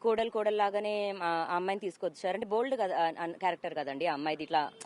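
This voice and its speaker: A woman's voice: on the low side, fast, a little mellow. A woman, giving a personal account as an interview guest and mixing in English words. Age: 30s. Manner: code-mixes English words into her talk.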